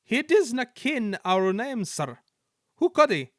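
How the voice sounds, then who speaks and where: Man doing sly Scottish twin engines, high, bouncy storybook voices, dry sound. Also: sing-song, slow, dry recording, heavily acted. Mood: cheeky. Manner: sly, sing-song, high. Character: two cheeky Scottish male twin engines.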